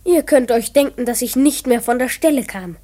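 A woman's voice: a little high, moderate pace, mellow, lightly acted. A woman playing an earnest, proud twelve-year-old boy detective in a children's radio play, with a dramatic delivery. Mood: confiding narration.

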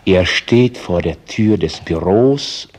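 A knowing radio play narrator with a husky, somewhat low voice. His delivery is wry and lively in pitch, like scripted storytelling. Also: slow, heavily acted. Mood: wry, confiding.

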